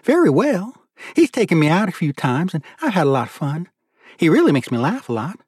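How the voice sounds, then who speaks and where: Man putting on a high, girlish teenage girl. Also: sing-song, a little fast, a little mellow, husky, no echo, clean studio sound. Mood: earnest. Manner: girlish, gushing, playfully scolding. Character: eager, sassy teenage girl, affectionate with elders.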